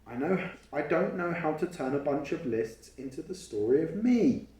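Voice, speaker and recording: A man voicing an eager, imaginative young schoolboy from a storybook, reading with expressive emphasis. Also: a little high, normal speed, pitched above the performer's own voice, close to an everyday voice. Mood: frustrated. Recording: roomy, echoey home recording.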